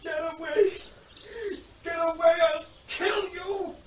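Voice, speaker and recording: An actor playing a distraught armed recluse, shouting defiantly from his cottage in a radio play. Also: very high voice, steady tone, takes it slowly, crowd noise. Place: outdoors, woodland cottage scene. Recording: distant voice in outdoor noise.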